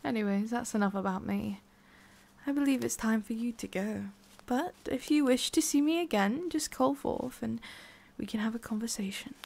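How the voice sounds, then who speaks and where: A woman voicing a haughty, prickly witch with a hidden soft side in an ASMR roleplay, soft and often whispery with a creaky edge. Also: fairly high pitch, moderate pace. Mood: softening, coy. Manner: soft, often whispery, breathy sighs, curt asides.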